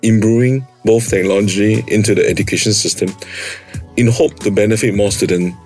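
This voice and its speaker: Low-voiced Singaporean man, measured. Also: steady tone, darkish tone, husky, faint music behind. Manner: earnest, measured, with fillers and pauses. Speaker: company founder and CEO. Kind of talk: interview answers.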